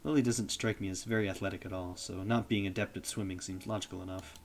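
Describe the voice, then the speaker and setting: A young man with a low voice reads a visual novel aloud on stream. His delivery is steady and even, with the odd small slip as he reads. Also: quick pace, slight background noise.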